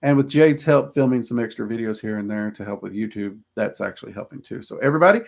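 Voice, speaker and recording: Gravelly Southern man chatting on stream. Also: on the low side, fast, a little mellow. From Southern United States. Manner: rambling, self-deprecating, frequent ums. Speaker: livestream host answering viewer questions. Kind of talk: casual livestream chat.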